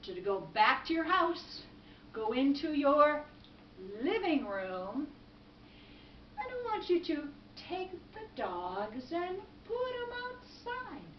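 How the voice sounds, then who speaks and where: A woman voicing a calm, self-assured wise old woman in a folktale, musing and then giving firm instructions. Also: high voice, heavily acted. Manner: hesitant oohs, then firm slow instructions. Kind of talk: oral folktale storytelling. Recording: distant mic, echoey room, dull, faint noise.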